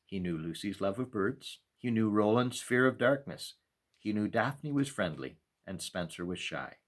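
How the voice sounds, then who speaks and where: A man reads a children's story aloud as a pastor at storytime, in a measured, expressive way with deliberate pauses. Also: lowish voice. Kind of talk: storytime read-aloud narration.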